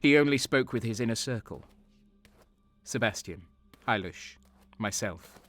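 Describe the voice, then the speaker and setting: A man's voice: mid-range voice, animated, a little slow, fairly bright tone, soft background music. An actor playing a cynical, cold and sadistic soldier who narrates his crimes in a brooding, sardonic way in an audio drama. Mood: brooding, bitter.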